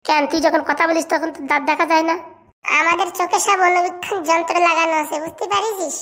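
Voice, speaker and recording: A woman's voice: extremely high pitch, fairly even intonation, fast, a bit bright. A woman voicing a small, pert, nitpicking schoolchild in a comic cartoon, argumentative and correcting. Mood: taunting.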